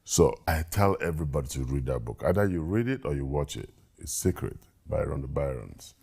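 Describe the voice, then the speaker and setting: A man's voice: extremely low pitch, big pitch swings, a little fast, vocal fry, dark tone, husky. A man talking in a casual interview, earnest, often repeating words as he thinks. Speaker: guest.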